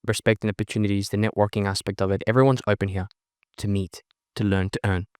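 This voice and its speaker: A young man whose first language is Spanish, with a mixed Spanish and Australian accent, talking as a podcast guest in an energetic, emphatic way and often ending with "right?". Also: lowish voice, clean tone, no echo, studio quality. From Chile. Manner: fast, emphatic, leans on "right?" tags. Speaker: podcast guest, networking event organizer. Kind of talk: energetic casual interview talk.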